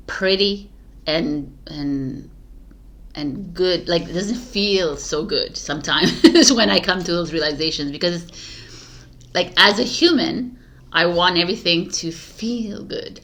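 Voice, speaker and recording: A woman's voice: fairly low pitch, pushed and bright. A woman tarot reader chatting casually to camera, laughing at herself, restarting often and losing her voice. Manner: giggly, scattered, restarts often. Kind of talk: casual spiritual talk to camera.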